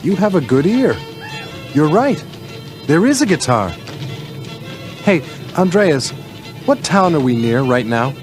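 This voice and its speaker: A man's voice: fairly high pitch, big pitch swings, measured pace, pauses often, over music. A man with an American accent playing a friendly, upbeat driver who explains things in a scripted English-learning radio drama. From Northeast United States.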